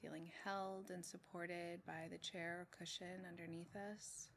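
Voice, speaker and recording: A woman meditation teacher leading a guided meditation in a gentle, measured way, with long pauses between short phrases. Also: lowish voice, little pitch movement. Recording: clearly noisy with room ambience.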